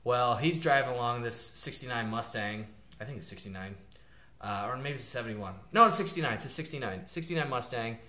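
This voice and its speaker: A man's voice: mid-range voice, brisk, sharp tone. A man co-hosting a podcast, chatting casually and loosely with lots of fillers, retelling a movie plot. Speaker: podcast co-host. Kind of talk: casual chat. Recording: roomy echo.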